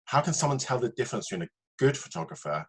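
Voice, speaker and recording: A man hosting a podcast, chatting casually as he interviews a guest, with a husky voice. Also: medium pitch, expressive, fast.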